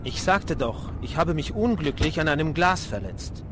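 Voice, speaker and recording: A man's voice: a little high, big pitch swings, moderate pace, background noise. An actor playing an anxious, secretive man in his thirties in an audio drama, muttering tensely and hesitating. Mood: nervous, evasive. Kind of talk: German audio drama acting. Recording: loud music under the voice.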